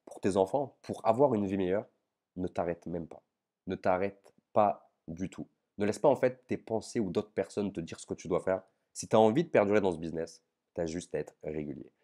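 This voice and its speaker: Young guy, deep and dark voice, loose slangy delivery, chatting to camera. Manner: informal, slangy, addresses viewer as tu. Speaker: online business vlogger. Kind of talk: casual direct-to-camera confession.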